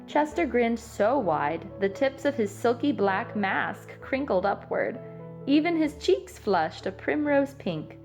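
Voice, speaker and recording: A woman narrating a children's storybook aloud in an expressive read-aloud style. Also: fairly high pitch, slow, faint music behind. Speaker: storybook reader.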